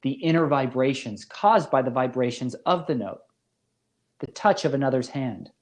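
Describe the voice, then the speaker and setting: Guy professor reading out a lecture, medium pitch, measured n deliberate, kinda literary wording. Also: lively intonation. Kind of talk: scripted philosophy lecture narration.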